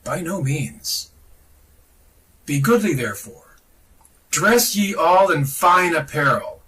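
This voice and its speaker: A man's voice: mid-range voice, bright, forceful tone, far from the mic, low-quality recording. A man giving a solemn, oratorical reading of a scripted religious text, declamatory and reverent, with emphatic phrasing. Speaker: reader of a religious text on a podcast.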